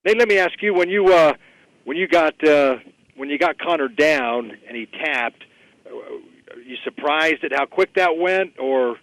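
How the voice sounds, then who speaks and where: A man's voice: fairly high pitch, unhurried, a bit bright, no room sound, poor audio. Rambling male reporter, very dry sound. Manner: rambling, polite, tentative. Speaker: sports reporter asking questions. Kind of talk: unscripted press questioning. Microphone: conference call.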